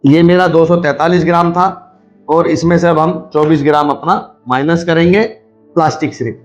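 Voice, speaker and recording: A man's voice: on the high side, fairly even intonation, moderate pace. A man talks through a practical demonstration. He explains each step in an instructional way, going back over key points. Faint music plays underneath him. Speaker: video host, scrap recycler. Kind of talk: hands-on demonstration with running explanation.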